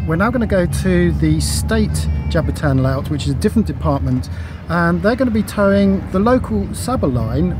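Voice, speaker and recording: A middle-aged man chatting casually to camera as he vlogs on location. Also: a little high. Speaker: vlogger. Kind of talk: casual vlog narration to camera. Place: on location: streets, car, offices. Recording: clear ambient noise, traffic and rooms.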